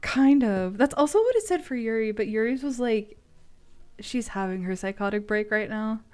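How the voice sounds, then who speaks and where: A woman's voice: a little high, animated, normal speed, a little mellow. A woman giving tarot readings on a stream in a playful, casually profane way, moving between loose chat and reading aloud.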